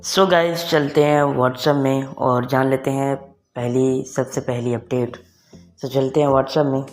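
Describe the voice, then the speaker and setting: A man's voice: mid-range voice, fairly even intonation, slow pace. Young man presenting over music. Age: young adult. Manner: mixes English words and phrases into talk. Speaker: tech video presenter. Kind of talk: casual spoken tutorial for online viewers. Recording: music behind the voice. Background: background music.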